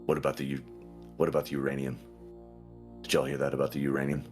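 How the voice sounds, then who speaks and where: A man's voice: a bass voice, completely flat delivery, fast, a little mellow, faint music behind. A man playing an insistent, fixated man obsessed with uranium at a tabletop game, repeating himself.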